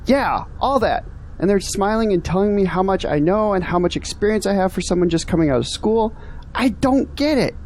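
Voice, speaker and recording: A man's voice: high voice, expressive, a little fast, darkish tone, a little hiss or hum. A man playing an earnest, discouraged young graduate in an audio drama, complaining about his troubles. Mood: frustrated. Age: young adult.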